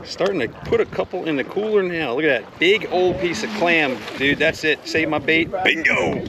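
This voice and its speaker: A man's voice: on the high side, normal speed, crowd noise. A middle-aged man vlogging a fishing trip, chatting upbeat about his catches on the deck of a party boat at sea. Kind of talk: casual fishing commentary. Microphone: action camera on the boat. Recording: loud wind and boat noise.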